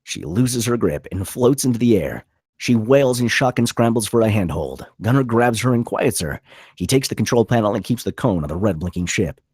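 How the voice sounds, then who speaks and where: A man narrates a script in a low, rough-edged voice. His reading is dramatic and animated, moving through stage directions in a dry, close recording. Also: normal speed, dry recording.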